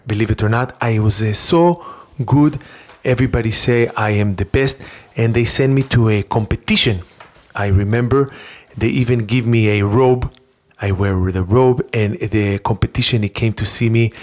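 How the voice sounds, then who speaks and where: An earnest, childlike talk show host with an Israeli accent, as a comic performer plays it. The English is broken and halting, full of repetition and naive boasting. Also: medium pitch, darkish tone, natural voice. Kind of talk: comedic children's talk show hosting and storytelling.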